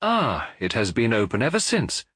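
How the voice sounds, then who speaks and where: A man's voice: mid-range voice, wildly swooping pitch, normal speed, fairly bright tone, slightly rough, no room sound, clean studio sound, heavily acted. A male narrator playing a humble, distressed priest detective in an audiobook story, speaking in a hesitant, subdued way. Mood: musing.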